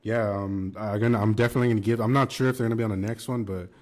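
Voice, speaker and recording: Young male rapper guest, deep and low, relaxed slangy delivery, casual podcast talk. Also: rapid-fire. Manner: laid-back, slangy, frequent "man" and "you know".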